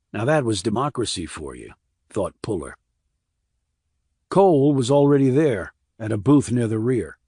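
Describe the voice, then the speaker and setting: A man reading a crime thriller as an audiobook narrator, in a measured storytelling manner. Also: mid-range voice, darkish tone, no echo, studio quality.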